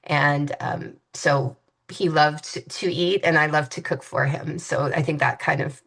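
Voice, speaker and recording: A woman in her fifties from Hawaii speaks as an interview guest with a low voice. She talks in a reflective, halting way, often pausing on fillers and restarting her sentences. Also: steady tone, gravelly, fairly bright tone, slightly rough. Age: 50s. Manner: hesitant, many fillers and restarts.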